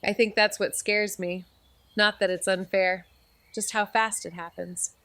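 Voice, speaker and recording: A woman's voice: mid-range voice, steady tone, unhurried, fairly bright tone, dry recording. A woman playing a young sleuth in a noir audio drama, speaking in a subdued, reflective, uneasy way to a friend.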